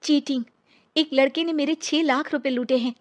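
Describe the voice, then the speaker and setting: Woman playing high-voiced, wronged divorcee. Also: steady tone, moderate pace, lightly acted. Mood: aggrieved.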